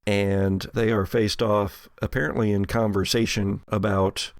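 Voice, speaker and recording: Casting: male game master narrating horror role-play, lowish voice with fry, recorded close and dry. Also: little pitch movement, unhurried, no echo.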